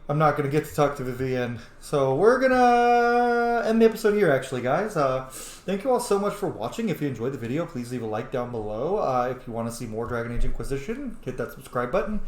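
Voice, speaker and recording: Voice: medium pitch, reverberant. A game streamer giving casual roleplay commentary in a candid way, with some swearing. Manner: candid, profane. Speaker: gaming video host.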